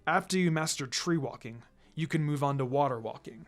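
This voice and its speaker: A narrator voicing a calm adult male ninja teacher with a measured, instructive and dryly teasing manner in a fan fiction reading. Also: mid-range voice, soft background music, voice pushed down, natural voice. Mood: calm, firm.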